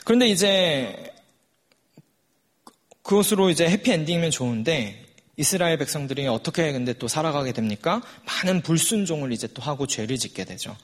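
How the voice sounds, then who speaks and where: A man's voice: on the high side, lively intonation, moderate pace. A forty-year-old man preaching a sermon in a conversational way, putting questions to his listeners. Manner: conversational, puts rhetorical questions to the congregation.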